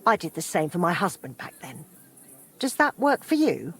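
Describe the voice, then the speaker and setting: A middle-aged woman playing a warm, helpful widowed townswoman in a medieval video game, with a husky voice. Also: on the low side, sing-song, normal speed, full character voice. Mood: friendly, obliging. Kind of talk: video game character dialogue.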